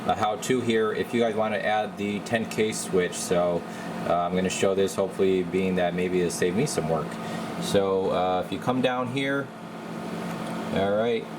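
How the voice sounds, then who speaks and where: A man's voice: fairly low pitch, noisy background. A man talking as a repair technician, walking viewers through a hands-on job in an informal, rambling way. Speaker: radio repair technician and video host. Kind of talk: casual how-to demonstration.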